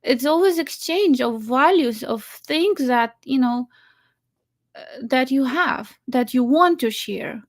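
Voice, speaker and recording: A woman's voice: high voice, expressive, takes it slowly. A woman from Ukraine with a Ukrainian accent, a matchmaker talking casually with many fillers, restarts and laughs. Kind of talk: casual chat.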